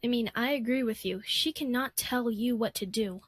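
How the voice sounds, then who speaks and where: A woman's voice: a little high, moderate pace, natural voice. A woman acting as an obedient young female apprentice in a sci-fi roleplay, deferential and hesitant. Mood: meek, agreeing. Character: obedient young female Sith apprentice, timid and loyal to master.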